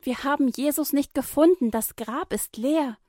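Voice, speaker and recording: A woman voicing excited women who emphatically report news in a high voice. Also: moderate pace, no room sound, studio quality, natural voice.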